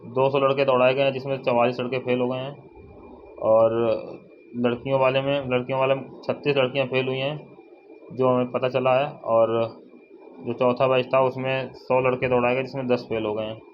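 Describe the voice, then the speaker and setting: A young man giving a casual informational update to viewers, halting and often repeating himself as he restates figures. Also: mid-range voice, flat delivery. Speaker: exam update vlogger. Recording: dull lo-fi, faint noise, birdsong.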